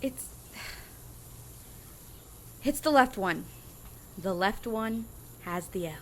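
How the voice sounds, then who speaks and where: A woman's voice: mid-range voice, animated, drawn-out words, a bit bright, slight background noise. A woman playing a wry female robber in a comedic audio drama, dry and somewhat hesitant, wearily helpful. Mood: weary, obliging.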